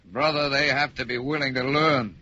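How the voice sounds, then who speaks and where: An actor playing a fierce, loyal lieutenant in an intense 1940s radio drama style. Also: male voice, medium pitch, fairly even intonation, moderate pace, bright, forceful tone. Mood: fierce, urgent. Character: loyal, fierce male lieutenant to his boss. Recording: dull, hissy old radio recording.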